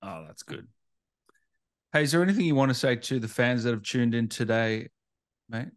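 A man's voice: mid-range voice, animated, normal speed, no echo, clean studio sound. A man hosting an interview in a casual, informal, matey way. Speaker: interview host. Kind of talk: casual chat.

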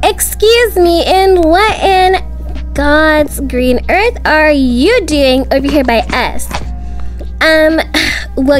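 A young woman doing amateur roleplay voice acting of school drama, with slangy, dramatic teen-girl dialogue. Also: high-pitched. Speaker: video creator voicing all characters of a school roleplay story. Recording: music behind the voice. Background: background music.

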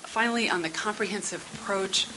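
A middle-aged woman giving prepared comments at a public council meeting in a measured, businesslike, formal but conversational way. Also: medium pitch. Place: indoor meeting room. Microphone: gooseneck table microphone. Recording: hissy meeting-room sound.

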